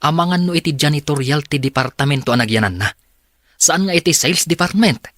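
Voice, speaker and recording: A man's voice: fairly high pitch, a little fast, a bit bright, no room sound, close to an everyday voice. A man voicing a stern, blunt company manager in a radio drama.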